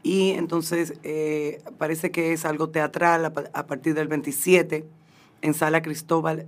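A woman's voice: low-pitched, unhurried. A woman co-hosting, reading event details with fillers. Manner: flat, even reading with fillers. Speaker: co-host.

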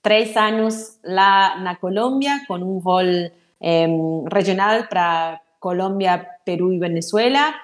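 A middle-aged woman from Argentina with an Argentine Spanish accent, a native Spanish speaker, answering as a podcast guest and pausing thoughtfully with fillers. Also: medium pitch. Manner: thoughtful, hesitant with frequent fillers. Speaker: guest, HR executive. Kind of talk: podcast interview.

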